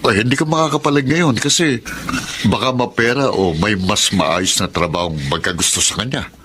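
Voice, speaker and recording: Man acting needling drinking buddy, lowish voice, Filipino accent, blunt, radio drama, noisy ambience. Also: moderate pace, close to an everyday voice. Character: teasing, blunt male drinking buddy stirring doubt.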